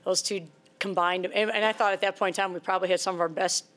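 A woman's voice: mid-range voice, fairly even intonation, fast talker, a bit bright. Earnest woman coach at a press table. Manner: earnest, conversational, repeats words as she restarts. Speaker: head basketball coach. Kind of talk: postgame press conference answers. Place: press conference room before a sponsor backdrop. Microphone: table microphone.

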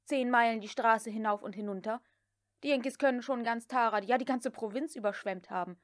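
A woman voicing a cool, mocking gentleman who takes command, as part of an audiobook reading. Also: on the high side, fairly quick, no room sound, studio quality, natural voice. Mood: sharp, disbelieving. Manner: sardonic, crisp, commanding. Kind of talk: dramatic audiobook character reading.

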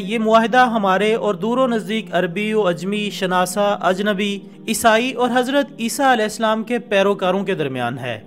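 A man reading scripted documentary narration in a formal, measured storytelling manner. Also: high-pitched, a bit bright. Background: background music.

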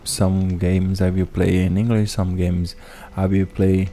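Deep-voiced man commenting on gameplay. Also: level tone. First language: Portuguese. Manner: chatty, halting English with restarts. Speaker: gameplay host. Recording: voice-over with loud game music.

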